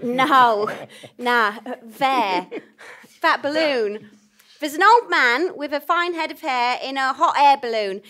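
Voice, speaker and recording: A wild, brusque trawler captain played by a woman in a comic audio play. The voice is high and forceful, blunt and deadpan in delivery. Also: takes it slowly, exaggerated voice. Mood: matter-of-fact. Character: wild-eyed, brusque small fishing-boat captain. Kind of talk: live comic audio drama.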